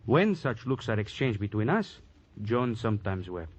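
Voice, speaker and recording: An actor playing a weary, bitter music teacher in an old-time radio drama, speaking in deliberate, florid, repetitive phrases. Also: male voice, fairly low pitch, big pitch swings, husky. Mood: bitter, intense.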